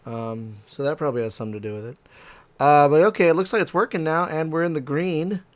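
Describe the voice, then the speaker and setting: A man with a loud voice hosting a casual podcast chat from home over an online call. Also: fairly high pitch, fast. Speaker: podcast host.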